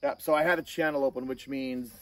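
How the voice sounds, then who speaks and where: A man hosting a casual hands-on gear review, talking in a halting way with self-corrections. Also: mid-range voice, fairly even intonation, fairly bright tone, no room sound. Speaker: host of a gear review channel. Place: outdoor shooting range. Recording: distant mic, outdoor noise, insect chirps.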